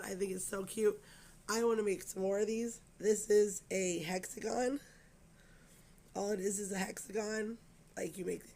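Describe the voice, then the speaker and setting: A woman with a cold and a raspy, scratchy voice, hosting a craft channel in a rambling, chatty way and apologising often, full of fillers. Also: mid-range voice. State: hoarse from a cold. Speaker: crochet channel host. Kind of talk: casual chat to camera.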